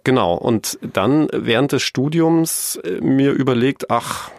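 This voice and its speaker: A man's voice: medium pitch, measured pace, vocal fry, no room sound, clean studio sound. A man of forty, raised bilingual with English as his first language, chatting as a podcast guest with a joking, self-deprecating manner. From Germany, Bavaria. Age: 40s. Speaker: podcast guest, lawyer and actor. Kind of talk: casual interview chat.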